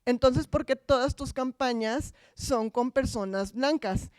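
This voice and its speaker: A woman's voice: high voice, unhurried, a little mellow, no echo. A Mexican woman giving an informal academic talk, colloquial and wry, often posing rhetorical questions. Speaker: academic lecturer.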